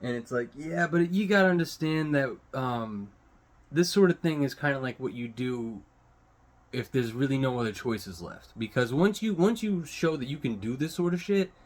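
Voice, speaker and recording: A man hosting his own online show, giving casual unscripted news commentary in a halting, rambling way, searching for words and swearing casually. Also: medium pitch, animated.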